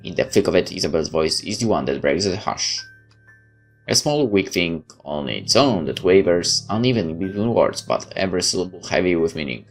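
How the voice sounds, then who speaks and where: Low-voiced man narrating a game. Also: expressive, moderate pace. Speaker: game streamer reading aloud. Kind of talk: let's play, narrating story text. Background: game music.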